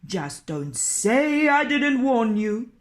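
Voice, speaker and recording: A woman voicing a frightened, gruff male innkeeper in a storybook, exclaiming emphatically. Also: on the high side, very animated, takes it slowly, pitched above the performer's own voice. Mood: agitated, exasperated.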